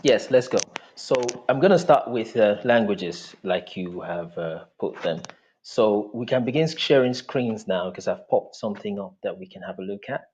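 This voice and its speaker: Husky-voiced man on a lo-fi call. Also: fairly low pitch, a little mellow. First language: Yoruba. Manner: earnest, measured, with frequent fillers. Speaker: interview guest, ministry speaker. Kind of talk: explanatory interview talk over a video call.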